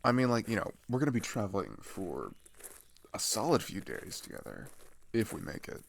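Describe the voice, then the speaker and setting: A man playing a friendly, chatty teenage migrant boy in an ASMR roleplay, talking casually and haltingly with pauses and sighs. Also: lowish voice, wildly swooping pitch, vocal fry, a little hiss or hum, full character voice. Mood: easygoing, teasing. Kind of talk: ASMR roleplay, one-sided conversational acting.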